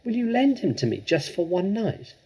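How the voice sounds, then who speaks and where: A man's voice: sits high, sing-song, normal speed, warm tone, slightly rough, pitched above the performer's own voice, full character voice, poor audio. Man playing an eager, theatrical young girl. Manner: exclamatory, theatrical. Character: clever, scheming young girl, eager and dramatic.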